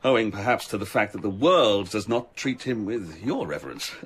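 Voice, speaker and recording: An actor playing a wry, gossipy bookseller in a radio drama, husky and theatrically expansive. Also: male voice, fairly low pitch, expressive, moderate pace, a little hiss or hum, close to an everyday voice. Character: wry, gossipy London bookseller, hospitable and teasing.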